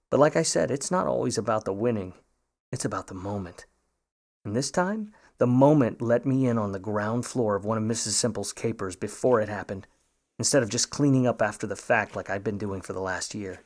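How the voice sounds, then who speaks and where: An actor playing a wry, grudging, hard-boiled Hollywood private eye of the 1950s in old radio noir style, full of slangy wisecracks. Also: male voice, fairly low pitch, normal speed, a little mellow, natural voice. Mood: world-weary narrating. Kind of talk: old-time radio noir acting with narration.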